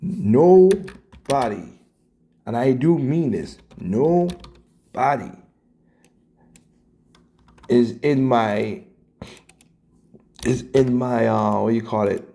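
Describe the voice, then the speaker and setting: A man's voice: medium pitch, lively intonation, extremely slow, creaky, long pauses, warm tone. Scratchy-voiced man ranting. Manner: blunt, swears, stumbles and repeats words. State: hoarse, coughing. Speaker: commentator reacting to clips. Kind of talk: casual rant.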